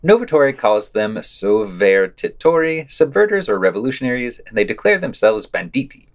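Male podcast host, lively melodic range, scripted reading of summaries and quotations. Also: mid-range voice, normal speed. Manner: reads quotations aloud, announcing quote and unquote. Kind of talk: scripted narration of news summaries and quoted articles.

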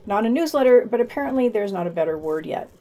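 Mellow-voiced woman reviewing for a podcast. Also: a little high, lively intonation, quick pace. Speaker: podcast host reading news and reviews. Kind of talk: scripted reviews with commentary.

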